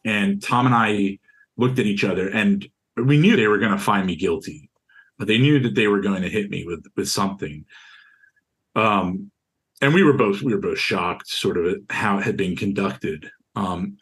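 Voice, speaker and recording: A young man with a deep, carrying voice tells of his own experience in an interview, earnest and stop-start, often repeating words as he searches for the next one. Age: young adult. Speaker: interviewee, university student. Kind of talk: recounting a personal experience in an interview.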